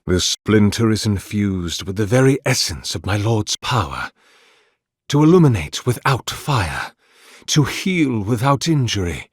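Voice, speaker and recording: An actor playing an ancient, devout priest in a video game, speaking with weary, formal, melancholy courtesy. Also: male voice, fairly low pitch, dry recording, clean studio sound, full character voice. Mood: reverent. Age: older. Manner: formal, measured, eloquent, solemn. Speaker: priest of a temple relic. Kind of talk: scripted video game dialogue.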